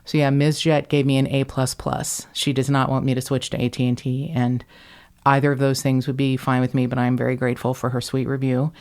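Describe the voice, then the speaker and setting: A woman from Kentucky with a deep voice, hosting a podcast in casual chat, wry and quick to swear or laugh. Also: level tone, darkish tone. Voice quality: deep voice, often taken for a man on the phone. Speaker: podcast host.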